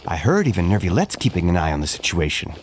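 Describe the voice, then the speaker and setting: A man's voice: low-pitched, sing-song, fast talker, a little hiss or hum. An actor playing a jokey, ambitious male city guard in a video game. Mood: eager.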